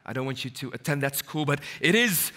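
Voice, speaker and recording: A man speaking on stage with a high voice and a bright, forceful tone. He gives an animated motivational talk and banters with the audience. He switches into French and Arabic mid-talk. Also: a little fast. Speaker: keynote speaker. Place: on stage before a live audience.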